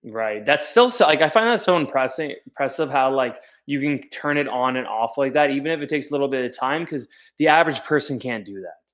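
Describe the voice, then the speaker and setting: A man with a voice of middling pitch hosts a casual interview. He reacts to his guest encouragingly and sets up his questions at some length. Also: animated, brisk, hardly any pauses, a bit bright. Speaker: podcast host.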